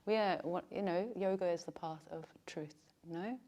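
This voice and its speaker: A woman's voice: fairly low pitch, moderate pace, warm tone, muffled recording. A woman teaching yoga teacher trainees, talking in a chatty way and laughing often. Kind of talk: teaching a class.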